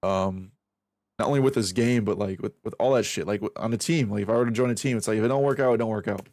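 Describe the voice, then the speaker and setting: A man's voice: fairly low pitch, fast, darkish tone, no echo. Twenty-something guy streaming, swears a lot, keeps restarting sentences, dead dry mic. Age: 20s. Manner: rambling, profane, self-reflective, restarts a lot. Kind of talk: casual stream chat while aim training.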